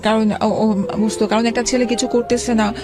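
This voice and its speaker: Halting woman recounting her past, soft music behind. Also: on the high side, little pitch movement. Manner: halting, many fillers and restarts, drawn-out pauses. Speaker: guest telling her life story. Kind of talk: emotional personal account in an interview. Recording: background music under the talk.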